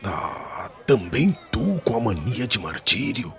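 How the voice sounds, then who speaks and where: Guy playing proud scornful pharisee in an audiobook, real deep voice, music behind him. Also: big pitch swings, takes it slowly, gravelly, pushed and bright, breathy edge, full character voice. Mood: incredulous. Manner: haughty, incredulous, rhetorical questioning. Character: proud, offended Pharisee, scornful former comrade of a convert. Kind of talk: dramatised audiobook dialogue.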